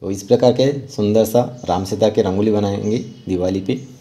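A man narrating a step-by-step art tutorial as an instructor, often pausing mid-sentence. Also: on the low side, mellow.

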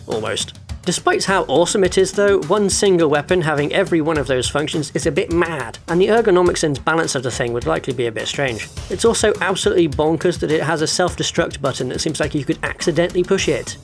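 A man delivering scripted video narration with casual asides in a wry, opinionated manner. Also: fairly high pitch. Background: background music.

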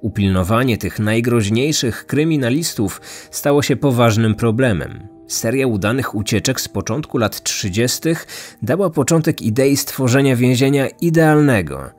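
A man narrates a true-crime podcast from a script. His voice sits at an average pitch, with music playing underneath. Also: animated, normal speed, darkish tone. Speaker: podcast host. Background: background music.

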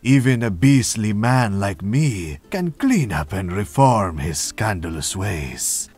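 Give me a slow, theatrical charmer of a barista, deep and husky. He lingers on every gallant phrase with a lively, swooping delivery. Faint game music sits under him. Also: exaggerated voice.

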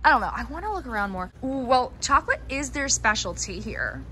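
A young woman chatting bubbly and fast to her handheld camera while shopping in a mall and candy store. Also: on the high side, very animated, pushed and bright. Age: young adult. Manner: bubbly, chatty, quick gasps and laughs. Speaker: vlogger. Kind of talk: casual shopping vlog. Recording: noisy mall ambience.

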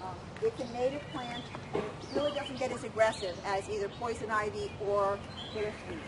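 A middle-aged woman with a somewhat high voice guides a nature walk. She explains informally, with halting starts. The outdoor camera recording is very noisy, with birds behind her. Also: fairly even intonation. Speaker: walking tour guide, plant educator. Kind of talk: informal outdoor talk and answering questions. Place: outdoors in a wooded riverside park. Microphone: camera among the crowd, a few metres off. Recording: outdoor camera recording with loud background noise.

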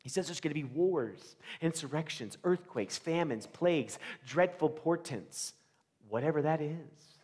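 A middle-aged American man preaching a conversational sermon, with animated storytelling, rhetorical questions and dramatic pauses. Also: on the high side, slightly rough. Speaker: pastor. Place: large church sanctuary, indoors, before a congregation. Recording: reverberant church hall.

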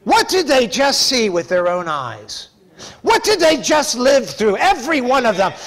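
A man's voice: very high-pitched, wildly swooping pitch, unhurried, fairly bright tone. A middle-aged man preaching a sermon in a church as pastor, speaking in an emphatic, folksy, conversational way. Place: church sanctuary, preaching from a pulpit.

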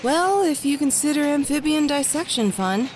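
A woman's voice: high voice, lively intonation, normal speed, a little hiss or hum, poor audio. An actress playing a wry, polite female doctor in an audio drama. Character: dry-humored female research doctor.

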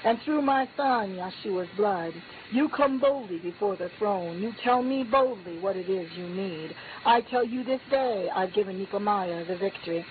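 A woman's voice: mid-range voice, normal speed, hardly any pauses. Woman prophesying over a tinny line. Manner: solemn, repetitive declaring cadence, lifting on key phrases. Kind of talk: prophetic utterance spoken as God. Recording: tinny phone-like line with faint hiss.

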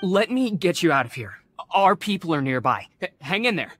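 A man's voice: high-pitched, big pitch swings, moderate pace, a bit bright, faint music behind. A man voicing an earnest, kindly retainer in a video game, exclaiming and starting hesitantly. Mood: startled concern. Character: earnest adult male retainer, concerned and kindly.

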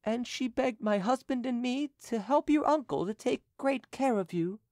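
Weepy grateful matron, woman reader, high, lively, dry close sound. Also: normal speed, no room sound, natural voice. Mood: tearful. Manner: tearful and pleading. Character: grieving, grateful older French woman.

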